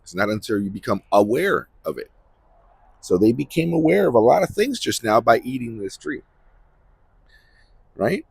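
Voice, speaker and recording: A man hosting a Bible-reading series, giving informal commentary in a halting, repetitive way and often checking for agreement. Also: mid-range voice, big pitch swings, vocal fry, husky, dry recording.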